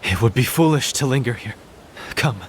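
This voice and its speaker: An actor playing a composed, courteous leader in a video game dub, with formal, measured diction. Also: male voice, mid-range voice, lively intonation, a little hiss or hum, natural voice. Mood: pressing on.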